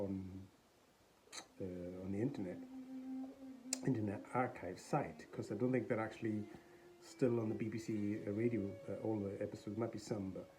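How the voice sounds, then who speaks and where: A man chatting casually to viewers in a rambling, halting way about something he bought. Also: lowish voice, warm tone, low-quality recording. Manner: rambling, hesitant, halting delivery. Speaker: collector showing a charity shop buy. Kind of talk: casual vlog chat. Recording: faint background noise.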